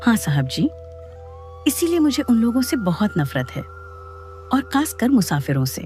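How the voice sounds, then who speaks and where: A woman's voice: fairly high pitch, sing-song, normal speed, mellow, faint music behind. Woman acting eerie spirit girl, wildly swooping voice. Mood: bitter. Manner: deferential, insistent. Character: eerie shape-shifting spirit posing as deferential village girl. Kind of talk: horror audio story acting.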